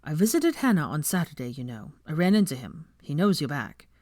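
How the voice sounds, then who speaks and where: A woman voicing a gentle, earnest young man, a concerned friend coaxing someone in a story reading. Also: low-pitched, very animated, fairly quick, dry recording, clean studio sound. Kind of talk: fan fiction audiobook character voice.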